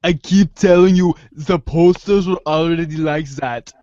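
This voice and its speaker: A man's voice: on the high side, measured pace, dark tone, dry recording, lightly acted. A man playing a cool, sardonic teenager with a precise, wordy, unruffled manner in an amateur webcomic reading. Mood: dry, defensive. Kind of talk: amateur readers' theater acting. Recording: dull lo-fi microphone sound.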